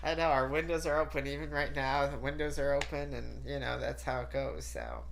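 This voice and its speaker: A woman's voice: extremely low pitch, expressive, brisk, gravelly, a little hiss or hum. A woman hosting a talk show, chatty and full of fillers, sounding stuffy and nasal from a cold. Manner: chatty, laughs often, many fillers. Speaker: host. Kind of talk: casual talk show chat.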